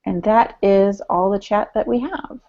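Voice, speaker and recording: A woman with a mid-pitched voice chats informally as a podcast co-host. Her audio is a bit dull and lo-fi. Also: unhurried, dark tone. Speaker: podcast co-host relaying audience chat. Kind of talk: casual podcast chat.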